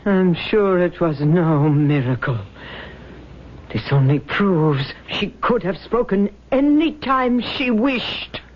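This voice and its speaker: A cold, scolding sister in an old radio play. She speaks slowly and severely, on a dull broadcast with faint noise. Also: fairly low pitch, lively intonation. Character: stern, bitter spinster sister, cold and scolding. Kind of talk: radio drama acting.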